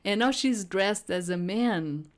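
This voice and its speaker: A woman doing casual game commentary and reading dialogue aloud, halting and amused in her asides. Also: medium pitch, lively intonation. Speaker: game player.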